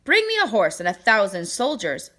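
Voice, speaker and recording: A woman's voice: mid-range voice, huge pitch swings, moderate pace, sharp tone, heavily acted. Woman playing a demanding, greedy storybook king. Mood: indignant. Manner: imperious, emphatic, demanding.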